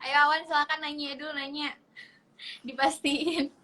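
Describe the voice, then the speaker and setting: Bright high-voiced woman host. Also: flat delivery, normal speed. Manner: upbeat, prompting. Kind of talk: casual game hosting.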